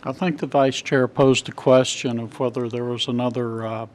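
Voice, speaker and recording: A man losing his voice explains a planning option at a public meeting. He is measured and long-winded, with restarts and quick apologies. His pitch is middling. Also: fairly even intonation, darkish tone. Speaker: planning commission member. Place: city council chamber.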